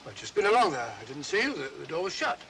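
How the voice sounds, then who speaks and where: An actor playing a fussy, bumbling older English gentleman sidekick, blustering and easily flustered, in a 1940s mystery film style. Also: a little high, big pitch swings, fast, a little hiss or hum, exaggerated voice. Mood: blustering, puzzled.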